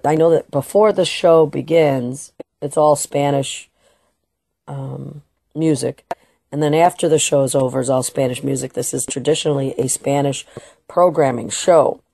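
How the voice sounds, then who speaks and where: A woman's voice: low voice, vocal fry, mellow, no echo, studio quality. A woman hosting a political talk radio show, delivering an emphatic, opinionated monologue with restarts and bits of Spanish. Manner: slips into Spanish phrases now and then.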